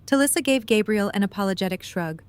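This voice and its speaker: A woman's voice: medium pitch, expressive, quick pace, clean tone. A woman reading scripted story narration for an audio drama.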